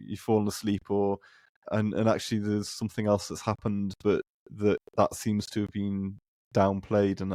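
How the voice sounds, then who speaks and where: Low-voiced, tentative man hosting a podcast. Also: darkish tone, no echo. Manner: tentative, hesitant, restarting phrases. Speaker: podcast host. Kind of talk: casual conversational discussion.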